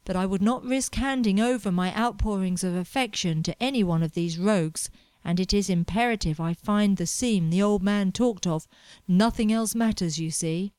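A woman voicing a pompous, melodramatic young man chasing gold, complaining loudly about everything around him. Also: medium pitch, normal speed, smooth, no room sound, close to an everyday voice. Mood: fervent. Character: anxious, pompous young male fortune hunter.

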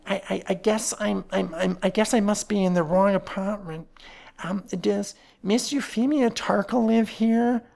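A woman's voice: mid-range voice, steady tone, vocal fry, darkish tone, pitched above the performer's own voice. A woman voicing a nervous, gangly young man who stammers and halts constantly. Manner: heavy stammering, halting.

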